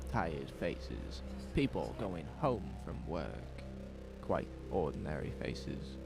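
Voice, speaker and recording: A man with an extremely deep, heavily creaky voice narrates a horror audio drama. He takes his time, measured and suspenseful, while his pitch moves expressively. Music plays clearly underneath.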